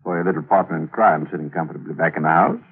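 Deep husky smug hitman, tinny old radio drama. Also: full character voice. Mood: smug menace. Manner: smug, teasing, slow menace. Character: sly menacing male killer, peanut-cracking, mocking. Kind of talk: 1940s radio acting.

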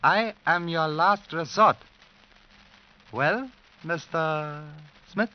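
An actor playing a shrewd, suave crime boss, deliberately polite and faintly menacing, in a vintage radio drama. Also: male voice, a little high. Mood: coolly menacing. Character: suave, shrewd Chinese-Irish crime boss in a border club. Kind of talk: 1950s radio drama acting. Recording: dull, noisy vintage radio recording.

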